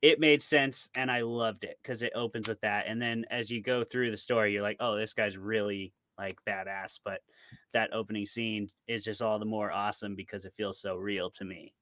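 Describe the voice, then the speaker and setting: A man's voice: on the low side, steady tone, moderate pace, a bit bright, dry recording. A man talking informally as an interview guest, rambling casually through examples. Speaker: interview guest, author and podcaster. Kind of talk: casual chat about fiction.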